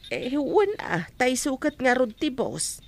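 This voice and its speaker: Actress for a proud mother who lectures her daughter; fairly high voice, insistent. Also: very animated, unhurried, vocal fry, exaggerated voice. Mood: probing. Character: proud, protective middle-aged mother. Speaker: Juliet's mother. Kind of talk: amateur radio drama acting.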